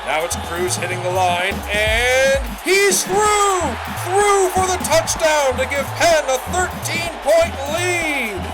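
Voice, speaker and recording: An actor playing an excited 1920s radio football announcer, calling the game in a shouted, rapid play-by-play. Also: male voice, extremely high pitch, full character voice. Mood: thrilled. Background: music.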